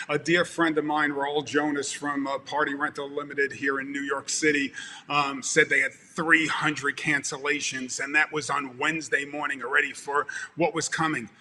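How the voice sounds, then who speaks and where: A man in his fifties with a Brooklyn accent, giving an animated motivational talk over a video call and repeating words for emphasis. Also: on the high side, big-room sound, a little hiss or hum. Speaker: event producer speaking to a conference. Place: home room with plants and lamps, indoors. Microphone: video call webcam.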